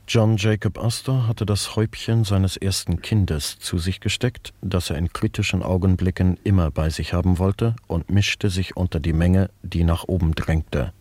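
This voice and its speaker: Solemn radio play narrator, deep voice, no room sound, reading a life story. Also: male voice, steady tone, moderate pace, few pauses, a little mellow, no room sound. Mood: solemn reporting.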